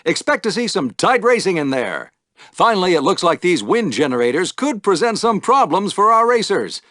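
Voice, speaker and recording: An actor playing an upbeat, showy sci-fi podrace announcer in a video game, delivering wisecracking play-by-play commentary. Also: male voice, high-pitched, big pitch swings, normal speed, bright, forceful tone, no room sound, studio quality, exaggerated voice. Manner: showy broadcaster patter with wisecracks. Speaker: sports race announcer.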